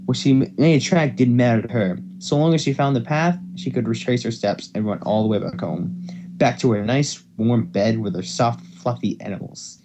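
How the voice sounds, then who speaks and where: A man's voice: mid-range voice, moderate pace, noisy background. A man hosting an amateur fan fiction reading, who stumbles over words and repeats phrases as he goes. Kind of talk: amateur dramatic story reading.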